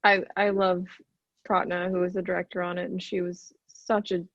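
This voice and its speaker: A woman from Arkansas talks in a relaxed, chatty way, and a light Arkansas lilt slips into some of her words. Her voice is recorded close and dry, with a slightly muffled, dull tone. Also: on the low side, moderate pace, dry recording. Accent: light Arkansas Southern. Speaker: actor, interview guest. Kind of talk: casual interview chat.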